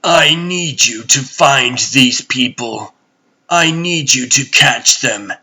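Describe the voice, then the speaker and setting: A man playing an imperious, brooding god-emperor and stern father in a comic dub, speaking gravely and with command. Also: mid-range voice, lively intonation, slow pace, sharp tone, heavily acted. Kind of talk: dramatic comic dub acting.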